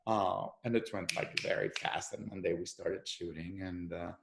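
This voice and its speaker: A man with a gravelly, creaky voice chats through a loose, rambling interview. He stops and restarts often and piles on fillers as he tells his stories. The room around him is noticeably echoey. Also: deep voice, moderate pace, noisy background. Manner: animated storyteller with many fillers and restarts. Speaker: actor and podcast guest. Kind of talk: casual interview conversation.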